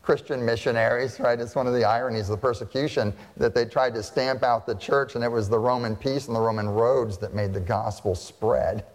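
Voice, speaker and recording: An American pastor preaching a sermon, talking to the congregation in a conversational way and asking them frequent questions. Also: male voice, mid-range voice, fairly even intonation, brisk, few pauses, in a large hall. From United States. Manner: conversational, asks the audience questions.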